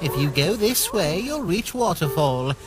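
Man voicing an eager, animated skeleton guy. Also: a little high, a little slow, over music. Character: eager, loud, friendly male skeleton monster. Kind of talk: comic dub character acting.

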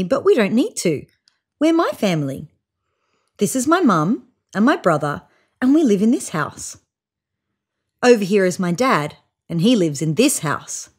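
A woman in her thirties reads a children's picture book aloud. Her mid-pitched voice swings widely in tone, with expressive storytelling aimed at young listeners. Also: husky. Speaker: storybook reader. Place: small room indoors, seated on a couch.

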